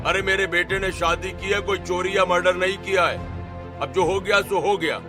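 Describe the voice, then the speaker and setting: A man voicing a stern, commanding father-in-law in a cartoon family drama, exasperated and loud. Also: high-pitched, fairly even intonation, normal speed, over music, lightly acted. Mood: angry, commanding. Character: stern, angry father-in-law and head of household.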